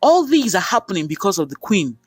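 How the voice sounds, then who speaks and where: A man's voice: high voice, big pitch swings, a little fast, breathy edge, no room sound, clean studio sound, faint music behind, full character voice. A man voicing a vengeful older Nigerian mother in a folktale, indignant and berating, with exclamations. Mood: scolding.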